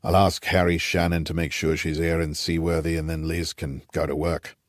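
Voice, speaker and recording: Man playing a deep-voiced old Rhodesian patriarch. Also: flat delivery, normal speed, no echo, clean studio sound. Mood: warm, decisive. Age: older. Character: aging gruff Rhodesian patriarch, old scout, wry and nostalgic.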